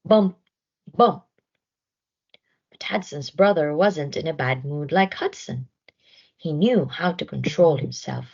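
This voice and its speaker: A woman reading a children's story aloud in an expressive narration style, on a slightly dull recording. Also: low-pitched, takes it slowly, gravelly, pauses often, slightly rough. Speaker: storybook reader.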